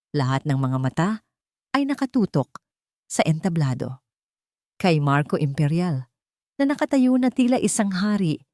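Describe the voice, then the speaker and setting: A woman with a fairly low voice narrates a romantic drama. Her delivery is measured and dramatic, set out in short phrases. The recording is dry and close. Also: darkish tone, dry recording. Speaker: story narrator.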